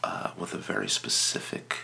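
A man playing a reverent small-town radio devotee on an improvised comedy podcast, speaking in a halting, hushed whisper. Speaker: podcast guest and producer. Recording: noticeable background noise.

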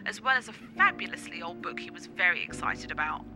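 A woman playing a witty, theatrical sweetheart with a dark streak in a radio play, with an arch, dramatic delivery over music. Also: on the low side, sing-song, fast talker. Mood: arch, teasing.

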